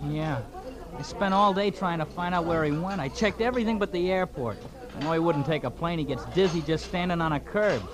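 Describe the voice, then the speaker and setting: Man acting high-pitched, worried streetwise newsboy. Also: fairly quick, natural voice. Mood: anxious, earnest. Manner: hesitant, slangy, plain-spoken. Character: worried, earnest streetwise newsboy, polite to adults. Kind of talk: 1940s radio drama acting. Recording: vintage radio, crowd murmur behind.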